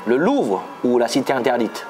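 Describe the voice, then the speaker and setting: A man's voice: mid-range voice, big pitch swings, normal speed, over music. A man from China, a native Chinese speaker, presenting and interviewing in a scripted style. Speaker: host and interviewer.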